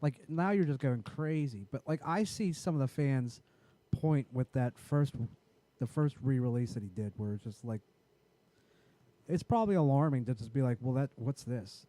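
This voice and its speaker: A man with a raspy voice in casual, profane podcast chat, rambling and often restarting his sentences. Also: medium pitch, expressive, moderate pace, warm tone, no echo. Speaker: podcast co-host.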